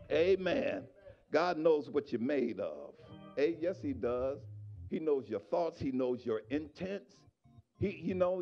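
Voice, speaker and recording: A middle-aged man preaching a sermon in a church, on a handheld microphone, swinging from shouted exhortation to quiet asides to the congregation. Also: sits high, creaky, highs cut off. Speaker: pastor. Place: church sanctuary.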